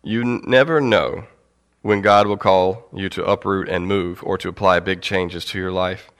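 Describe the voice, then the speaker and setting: Young guy with a really low voice and a cold, chatty bible lesson, lots of ums. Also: fairly even intonation, a bit bright, smooth. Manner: casual, halting, with fillers and light laughs. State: has a cold. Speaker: church teacher. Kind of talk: informal Bible teaching.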